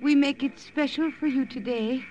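A woman playing a gentle, obliging Burmese village woman who speaks in careful, simple phrases, in an old radio drama. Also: high-pitched, moderate pace, slight background noise, natural voice. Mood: hospitable. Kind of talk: 1940s-50s radio drama acting. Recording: dull, muffled old radio recording.

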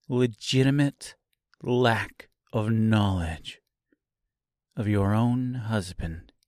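A man voicing a wicked witch in the shape of an eerie girl, speaking with precise diction and calm, drawn-out menace in a storybook reading. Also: lowish voice, husky, no room sound, studio quality, exaggerated voice.

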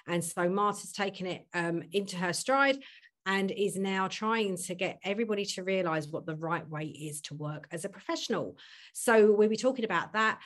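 A middle-aged woman hosting a podcast, chatty and self-deprecating, sounding a little husky today. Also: on the low side. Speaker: podcast host. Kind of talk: podcast intro and casual chat.

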